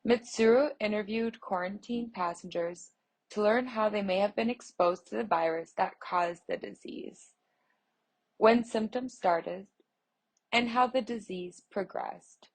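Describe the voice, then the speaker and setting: A woman reads a news feature out loud at a mid-range pitch, her delivery steady and measured. She stumbles on a word now and then, restarts, and carries on in the same even manner. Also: poor audio. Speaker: voice interpreter reading an article. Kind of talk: reading a news feature aloud.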